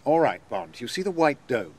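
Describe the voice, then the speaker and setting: An actor with an upper-class English accent playing a jovial, domineering rocket tycoon with a hearty, commanding manner in a radio drama. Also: male voice, mid-range voice, very animated, moderate pace. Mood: proud, brisk.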